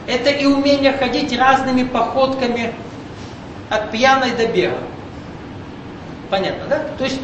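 A man leading a seminar, giving an explanatory spiritual lecture and often checking in with his audience. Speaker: seminar leader. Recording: very echoey room from far off, rumbling noise.

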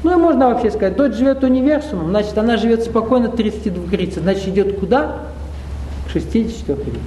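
A man with a high, warm voice teaches a spiritual seminar to a live audience. He talks conversationally, asking the room questions and slipping in wry asides. The sound carries the echo of a roomy hall. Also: lively intonation, fast talker, a little hiss or hum.

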